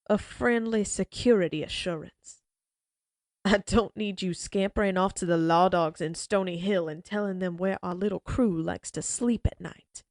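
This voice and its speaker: A woman playing a slow-talking menacing outlaw leader. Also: lowish voice, a little mellow, no room sound, studio quality. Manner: slow, taunting drawl. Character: menacing female outlaw gang leader, mocking. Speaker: voice actress. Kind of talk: audio roleplay acting.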